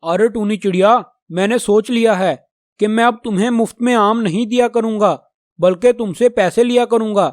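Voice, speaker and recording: A man's voice: high-pitched, moderate pace, dry recording, clean studio sound, natural voice. A man playing a proud, grudging talking mango tree in a children's cartoon, speaking emphatically. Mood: grudging, stern.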